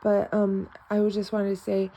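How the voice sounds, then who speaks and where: Gravelly-voiced woman rambling in a vlog. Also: mid-range voice, completely flat delivery, normal speed, warm tone. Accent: American accent in German. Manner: rambling, repeats phrases, many restarts; switches between English and German. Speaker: self-described novice clairvoyant. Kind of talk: casual rambling spiritual vlog.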